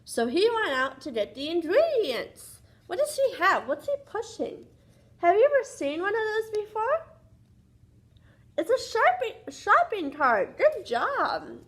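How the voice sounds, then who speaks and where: Female children's read-aloud host: high voice, lively swoops, unhurried, sing-song, interactive questioning.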